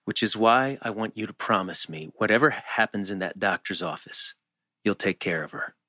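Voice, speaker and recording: A man playing an earnest, laconic, protective teenage boy in a drama table read, understated and halting. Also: on the low side, pushed and bright, dry recording, clean studio sound. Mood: earnest, firm.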